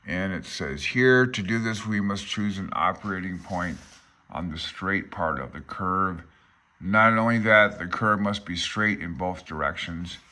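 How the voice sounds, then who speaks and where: Deep-voiced older man with a bright edge. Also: a little slow. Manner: hesitant, self-deprecating, unsure of himself. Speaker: amateur radio hobbyist presenter. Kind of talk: informal tutorial, reading aloud from a handbook with asides.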